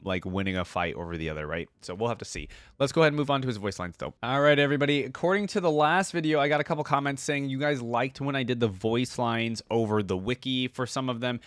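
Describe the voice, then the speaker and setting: A man's voice: mid-range voice, clean tone, no room sound. A man giving casual, informal reaction commentary on a video game, talking directly to viewers.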